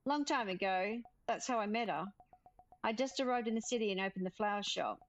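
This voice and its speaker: A woman's voice: medium pitch, fairly quick, faint music behind, lightly acted. A woman reading the part of a thoughtful, modest florist who loves plants, in a visual novel. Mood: wistful. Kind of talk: visual novel character read aloud.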